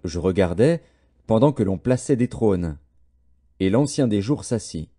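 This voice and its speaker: A man narrating a scripted Bible audiobook in a solemn, measured, formal way. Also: lowish voice, expressive, mellow.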